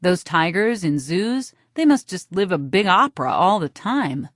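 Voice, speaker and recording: A woman's voice: fairly low pitch, big pitch swings, unhurried, dry recording. Woman narrating dramatic fiction, dry studio sound. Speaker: audiobook narrator. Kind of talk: scripted dramatic first-person fiction narration.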